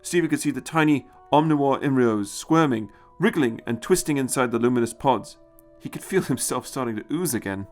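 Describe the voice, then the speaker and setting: A man narrates a story in a dramatic, scripted style. His pitch is middling but moves with plenty of animation. Soft music plays underneath his reading. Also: animated, fairly quick, hardly any pauses.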